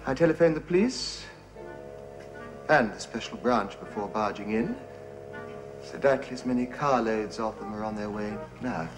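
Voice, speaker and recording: An actor playing a polite English gentleman holding people at gunpoint, speaking dryly, ironically and precisely, in a 1970s TV drama. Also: fairly low pitch, normal speed, music in the background, lightly acted, poor audio. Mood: wry. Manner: dry, ironic, precise and courteous.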